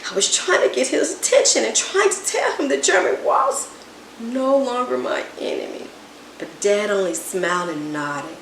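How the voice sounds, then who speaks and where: Woman, children's story read-aloud, expressive, roomy echo, faint hiss. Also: on the high side, normal speed, creaky. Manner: expressive, animated first-person storytelling. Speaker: storybook reader.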